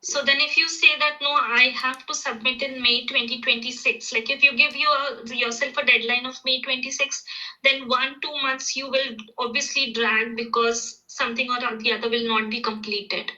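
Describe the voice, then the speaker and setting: A woman's voice: sits high, little pitch movement, moderate pace, pushed and bright, low-quality recording. A woman giving encouraging advice in casual talk, often saying "like".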